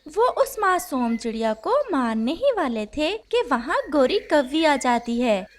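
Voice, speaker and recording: A woman's voice: high voice, sing-song, a little slow, background noise. A woman narrating a scripted children's story.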